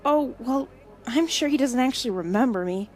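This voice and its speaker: A woman's voice: sits high, lively intonation, moderate pace. A woman acting a shy, self-doubting, sweet young seer in an audio drama, timid and hesitant. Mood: nervous.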